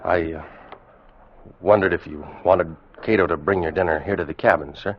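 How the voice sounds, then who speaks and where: A man's voice: deep voice, fairly even intonation, normal speed, slight background noise, close to an everyday voice. An actor playing a tense, watchful ship's officer who narrates his tale in a 1940s radio drama. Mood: guarded, respectful. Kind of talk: 1940s radio acting, first-person narration. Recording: dull vintage radio recording with music.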